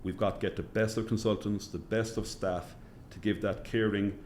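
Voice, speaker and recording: A middle-aged man with a Cork Irish accent, answering interview questions as a hospital chief executive in a measured way, repeating phrases for emphasis. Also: on the low side, steady tone, darkish tone, slight background noise. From Cork, Ireland.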